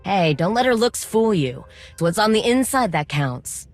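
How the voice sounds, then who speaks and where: An actress playing a friendly, determined droid tinkerer in a video game, talking in a casual, reassuring way. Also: female voice, mid-range voice, very animated, normal speed, faint music behind. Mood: friendly, upbeat.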